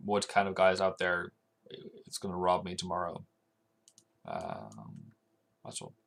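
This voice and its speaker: A man's voice: on the low side, fairly bright tone. Chatty man streaming. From Norway. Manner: rambling, chatty, answers viewer comments aloud. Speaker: forex trading livestreamer. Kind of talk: casual livestream chat.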